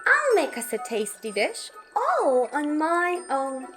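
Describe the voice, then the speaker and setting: A young, scatterbrained storybook witch girl voiced by a woman, very high and swooping in pitch. She is eager and exclamatory, hesitating and fretting over her words. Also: wildly swooping pitch, takes it slowly, a bit bright, faint music behind, exaggerated voice.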